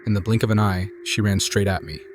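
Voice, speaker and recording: A man calmly narrating true scary stories in a measured, hushed scripted style. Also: fairly low pitch, faint music behind. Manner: calm, measured, hushed storytelling. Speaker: narrator reading viewer stories. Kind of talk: scripted horror story narration.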